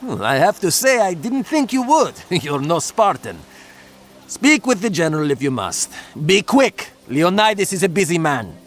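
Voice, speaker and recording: An actor playing a gruff, dismissive Spartan officer in a video game, curt and haughty. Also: male voice, sits high, very animated, moderate pace. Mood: grudging, scornful. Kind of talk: video game character dialogue.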